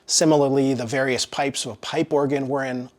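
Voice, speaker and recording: A man's voice: medium pitch, steady tone, a little fast. A man narrating a scripted product review as the reviewer. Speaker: audio equipment reviewer.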